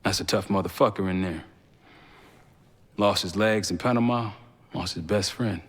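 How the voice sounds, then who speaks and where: An actor playing a steady, pragmatic intelligence operative in a video game, an American man talking frankly and colloquially. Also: low voice, moderate pace, slightly rough. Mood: somber. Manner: laid-back, frank, colloquial. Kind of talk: video game dialogue acting. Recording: long reverberant room echo.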